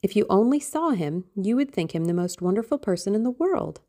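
A woman's voice: medium pitch, sing-song, brisk, warm tone, pitched above the performer's own voice, natural voice. A woman voicing a dreamy, impulsive teenage girl in love, theatrical and exclamatory, in an audiobook. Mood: rapturous. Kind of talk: audiobook character acting.

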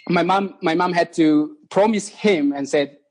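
Halting man telling his story. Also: fairly high pitch, darkish tone. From East Timor. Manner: halting, many restarts and repeated words. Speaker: guest. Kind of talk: casual interview.

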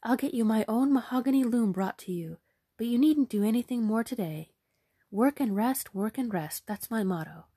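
A brisk, hearty older queen , played by a woman reading a fairy tale, who speaks up loudly and raises her pitch with emphasis. Underneath sits a dark, slightly husky voice, recorded close and dry. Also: dry recording, close to an everyday voice. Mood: delighted. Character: brisk, delighted older queen, fond of hard work.

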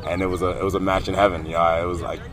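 A young adult man from Delaware speaks with an American accent. His voice is low-pitched. He talks in a casual, conversational way as a podcast guest. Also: little pitch movement, very fast, a crowd in the background. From Delaware, United States. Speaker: podcast guest, soccer goalkeeper and coach. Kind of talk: casual interview chat.

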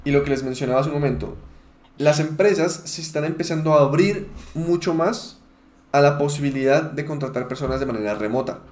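A man's voice: on the high side, fairly quick, a little mellow. A man hosting a casual podcast chat in who leads the talk and laughs often. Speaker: podcast host. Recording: echoey room.

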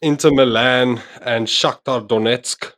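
A man's voice: mid-range voice, steady tone, slow pace, a bit bright. A man hosting a casual football podcast, running through the fixtures in an easygoing way. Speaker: podcast host.

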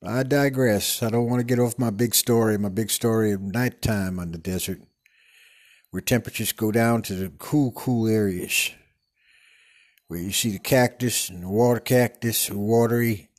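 A man's voice: on the low side, moderate pace, a little mellow, close to an everyday voice. A man playing a rambling older Western TV actor who speaks with a Texan accent and drifts off into digressions, stumbling and repeating his words. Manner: meandering, digressive storytelling with many stumbles. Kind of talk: improvised comedic character monologue. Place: studio.

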